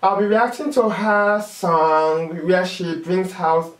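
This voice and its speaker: A woman's voice: fairly low pitch, fairly even intonation, takes it slowly. A young woman hosting a reaction video, squealing, whooping and laughing as she watches a performance indoors. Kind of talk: excited casual reaction commentary. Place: salon-style room indoors. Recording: roomy, distant mic, loud music playing. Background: music from the clip being watched.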